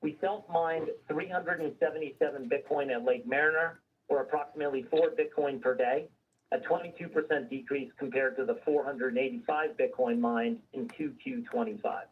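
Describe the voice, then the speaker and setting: A man delivers a scripted earnings report in a formal, read-out way. His voice is husky and mid-pitched. The sound is distant, like a replayed conference call. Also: normal speed, narrow, tinny audio. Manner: formal, reading prepared figures. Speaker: company finance executive presenting quarterly results.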